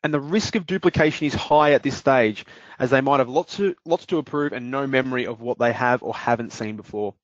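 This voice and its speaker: A man's voice: mid-range voice, dry recording. Man presenting a webinar demo. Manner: measured, explanatory, some fillers. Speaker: webinar presenter, business development manager. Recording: dry dull lo-fi audio.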